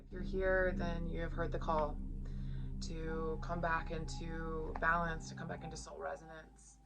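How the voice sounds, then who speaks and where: A woman teaching yoga leads a guided meditation in a soothing, gentle, unhurried way with pauses between phrases. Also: sits low, monotone, very noisy. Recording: loud music under the voice. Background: music.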